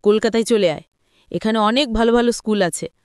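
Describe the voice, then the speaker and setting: A woman's voice: fairly high pitch, a little fast, a little mellow, clean tone, dry recording, clean studio sound, lightly acted. A woman voicing a domineering, resentful middle-aged mother in an audio story, nagging and snobbish as she scolds. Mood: reproachful.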